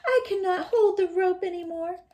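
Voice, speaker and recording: A woman's voice: very high-pitched, normal speed, a little mellow, voice pushed up. A woman voicing a clever eldest girl in a storybook, sweet and coaxing with pretend innocence as she schemes. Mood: feigned helplessness.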